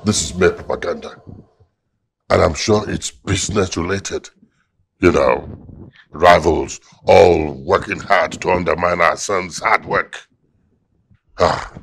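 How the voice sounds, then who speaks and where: A man's voice: sits low, slow pace, gravelly, long pauses, a bit bright, a little hiss or hum, full character voice. An actor playing a dismissive, pompous wealthy father, middle-aged.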